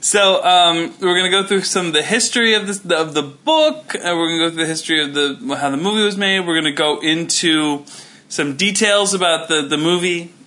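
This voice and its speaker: Man, thirties, podcast co-host, forceful bright tone, rambling delivery. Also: fairly high pitch, fairly quick. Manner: rambling, many fillers and restarts. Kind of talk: casual chat.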